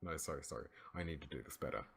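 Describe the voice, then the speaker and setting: A man's voice: rumbling low, racing, a bit bright, breathy edge. An Australian man doing an informal read-aloud of a script as an amateur narrator, voicing the dialogue himself.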